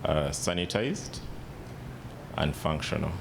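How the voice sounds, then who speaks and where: Deep gravelly emcee in a hall. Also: male voice, animated. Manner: halting, with fillers and restarts. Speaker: event emcee. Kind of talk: event announcements. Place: large event hall. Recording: long hall reverb, loud rumble.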